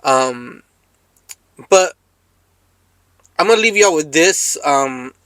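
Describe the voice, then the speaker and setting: Slangy American man with a slightly high voice. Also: expressive, slow pace, a bit bright, no room sound. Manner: rambling, laid-back street slang. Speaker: trucker vlogger. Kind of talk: casual chat to viewers, slangy.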